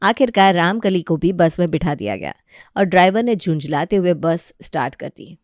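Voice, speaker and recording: A woman's voice: lowish voice, expressive, normal speed, no echo. Woman narrating a story, dry close sound. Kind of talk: scripted Hindi story narration.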